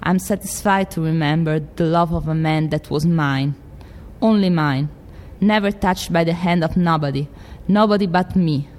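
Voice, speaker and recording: Brief: woman playing a defiant grieving widow, Italian accent, very low voice. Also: moderate pace, a little mellow, long reverb tail, lightly acted. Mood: defiant, proud. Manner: impassioned, defiant monologue reading. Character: proud grieving middle-aged widow, fiercely devoted.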